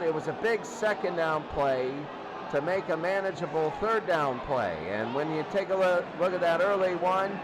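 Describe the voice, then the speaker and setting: A man calling football play-by-play, getting excitable when the action picks up. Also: sits high. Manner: excitable on big plays. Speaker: play-by-play sports commentator. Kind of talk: American football game commentary. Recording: clear background noise.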